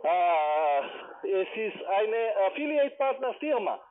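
High-pitched foreign-accented man on phone. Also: very animated, a little hiss or hum. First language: not German. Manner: halting, impatient, informal. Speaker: call center trading salesman. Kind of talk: cold sales call. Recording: muffled, tinny phone line.

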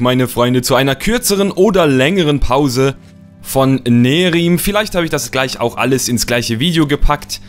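Young man, slightly hoarse from a cold, a bit higher voice, playful rambling gameplay talk, faint music behind. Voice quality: slightly rough voice. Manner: playful, rambling, repeats words for fun. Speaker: let's play host. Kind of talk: casual gameplay commentary.

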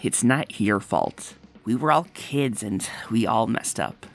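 A woman voicing a gruff, sincere female cartoon creature with a gravelly voice in a comic dub. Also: rumbling low, big pitch swings, slow, faint music behind, full character voice. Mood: apologetic. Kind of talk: comic dub character acting.

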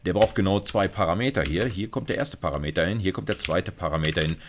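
A man's voice: low voice, quick pace, noisy background. A middle-aged man giving an informal screencast lecture, explaining live coding step by step in a colloquial way. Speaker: university computer science lecturer.

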